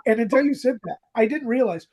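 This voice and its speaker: A man co-hosting a podcast, casually retelling a story in an animated way. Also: very high-pitched, fast. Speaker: podcast co-host. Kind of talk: casual chat, retelling a radio drama.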